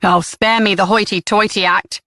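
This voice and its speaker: A woman voicing a defensive, brash mother who talks in a quick, snappish jumble. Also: mid-range voice, steady tone, pushed and bright, no room sound, studio quality, close to an everyday voice. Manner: defensive, rapid jumbled talk.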